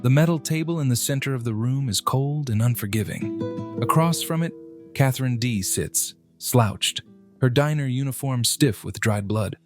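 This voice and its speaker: A man with a medium-pitched voice reads scripted horror narration. He breaks lines into short, measured phrases with dramatic pauses, while faint plucked guitar plays behind him.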